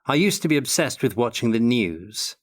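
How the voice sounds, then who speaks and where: An older man giving measured, articulate answers as an interview guest in a prepared Q&A. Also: mid-range voice, sing-song. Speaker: interview guest, tabletop game designer.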